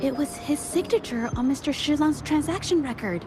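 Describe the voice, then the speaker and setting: High-voiced sharp young female investigator, played by an actress. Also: moderate pace, music in the background, lightly acted. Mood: deducing. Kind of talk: video game voice acting.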